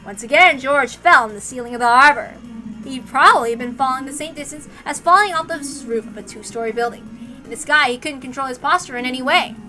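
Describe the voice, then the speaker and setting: A woman narrating a visual novel aloud in an expressive, dramatic storytelling style. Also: high voice, brisk, loud music behind the voice, low-quality recording. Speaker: narrator, reader.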